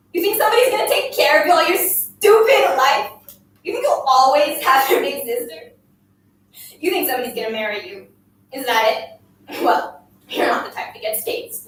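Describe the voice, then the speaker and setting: A woman's voice: on the high side, fairly bright tone, lightly acted. A woman as a cruel bullying schoolgirl, echoey room. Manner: taunting, commanding, insistent. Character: cruel, bullying schoolgirl tormenting a silent classmate. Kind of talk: dramatic first-person story performance. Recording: very echoey room, distant mic, faint noise.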